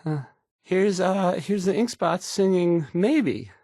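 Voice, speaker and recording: A man's voice: sits high, animated, dark tone, dry recording, clean studio sound, full character voice. An actor playing an awkward, insecure young male radio DJ who stammers and gets flustered introducing songs. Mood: flustered, unsure. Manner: halting, stammering, flustered, self-doubting. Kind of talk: stumbling radio song introductions.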